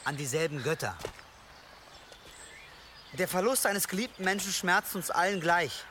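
An actor in a German radio drama playing a gentle, devout monk with a halting, pious manner. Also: male voice, high-pitched, expressive, noisy background. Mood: gentle, sorrowful. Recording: clear outdoor ambience behind.

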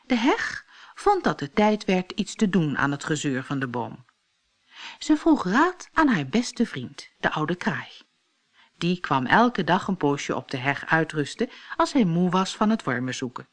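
Woman reading a kids fairy tale, slightly low voice, really slow and expressive. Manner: expressive, deliberate. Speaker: storyteller. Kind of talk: scripted children's fairy-tale narration.

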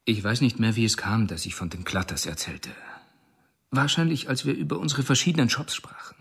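Male convict informant, husky, animated intonation, plain-spoken, uneasy, radio drama monologue. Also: medium pitch, fairly quick. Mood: confessional.

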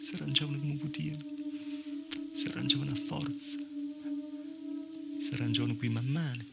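A man's voice: mid-range voice, steady tone, a little fast, vocal fry, loud music behind the voice, close to an everyday voice. A man with a southern Italian accent playing a wry, plaintive village woman telling her story in a conversational theatrical monologue. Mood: wry, grim. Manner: conversational, punctuated with rhetorical "eh". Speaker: stage actor. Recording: live theatre recording with noise.

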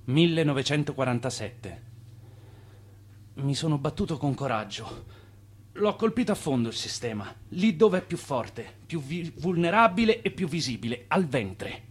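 An actor playing an ailing, stubborn old Italian swindler in a radio drama, weary but proud, with a wistful, theatrical delivery. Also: male voice, a little high, expressive, normal speed, breathy edge.

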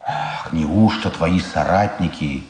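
An actor playing a proud, principled blind war veteran in a radio drama, measured, restrained and ironic. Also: male voice, low-pitched, darkish tone, roomy sound, a little hiss or hum. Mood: bitter irony.

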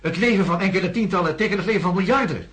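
A man's voice: high-pitched, very fast, a bit bright. An actor playing an urgent male doctor who insists on being believed in a radio drama. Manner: insistent, commanding. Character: urgent male doctor warning of alien threat.

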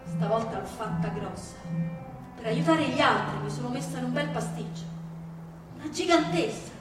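A woman acts out a brave, plain-hearted village girl turned giantess in a stage tale. The voice is high and declaims emphatically. It rings through a very echoey hall with music behind. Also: animated, moderate pace, distant mic, natural voice. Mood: rueful. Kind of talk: theatrical storytelling.

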